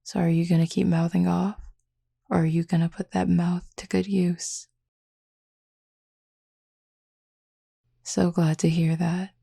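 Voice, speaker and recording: A woman playing a flirty, confident female werewolf in an intimate audio roleplay, with a husky, gravelly voice and a teasing, drawling, playfully commanding manner. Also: lowish voice, little pitch movement, moderate pace, mellow, heavily acted. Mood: sultry, commanding.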